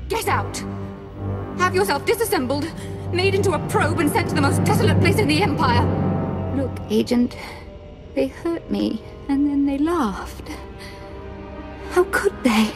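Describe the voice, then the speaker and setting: An actress voicing an unstable young female Sith lord, wounded and bitter, with a gravelly voice and a manner that swings from imperious rage to self-pity, in a video game cutscene. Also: high-pitched, animated, measured pace, pauses often, over loud music. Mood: imperious fury.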